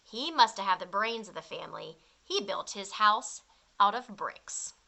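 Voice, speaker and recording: A woman's voice: medium pitch, lively intonation, unhurried, creaky, pushed and bright, heavily acted. A woman voicing a self-justifying big bad wolf in a storybook, confiding and mock-innocent with exaggerated storytelling delivery.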